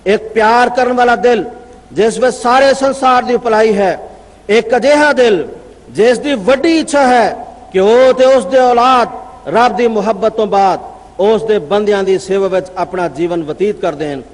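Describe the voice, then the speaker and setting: A Punjabi-accented man delivers a formal religious address with a strikingly high voice. He speaks in measured, declaiming phrases and stops now and then to cite his sources. A long reverb trails each line through the large hall. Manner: declaiming with long pauses, reading out citations. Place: convention gathering.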